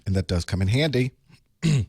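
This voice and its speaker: A man with a cold speaks in a hoarse, rather low voice. He chats wryly and half to himself as he gets a live stream ready. The sound is dry and close, with no room echo. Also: lively intonation, normal speed, a little mellow. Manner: chatty, wry, talks to himself while setting up. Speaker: online course instructor. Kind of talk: casual pre-show chat to a live stream. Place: small studio desk.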